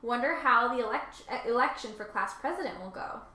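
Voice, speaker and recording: A woman's voice: on the high side, lively intonation, fairly quick, sharp tone. A woman chatting casually and reading aloud as a co-host, informal and conversational. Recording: echoey room with faint noise.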